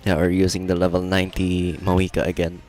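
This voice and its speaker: A man's voice: deep voice, monotone, fairly quick, darkish tone. A man giving casual, laid-back gameplay commentary as a livestreamer, reacting as he plays. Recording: faint game music behind. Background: faint game music.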